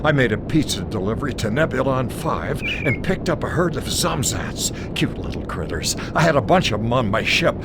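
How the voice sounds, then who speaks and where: An actor playing a cheery uncle who delivers pizza across space, in a video game cutscene. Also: male voice, mid-range voice, very animated, normal speed, hardly any pauses, very noisy, lightly acted. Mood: hearty. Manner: hearty, upbeat. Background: game music.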